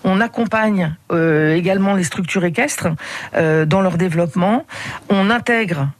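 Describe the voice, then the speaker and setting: A middle-aged woman from the Paris region answering radio interview questions in a measured way, with frequent hesitations. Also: fairly low pitch, dry recording. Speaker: head of a regional riding committee, radio guest.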